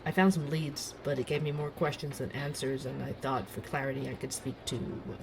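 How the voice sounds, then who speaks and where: A man acting a nervous, eager young barista and podcaster in an urban fantasy audio drama, halting and apologetic. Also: mid-range voice, steady tone, creaky, background noise, natural voice. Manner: halting, self-interrupting, awkward.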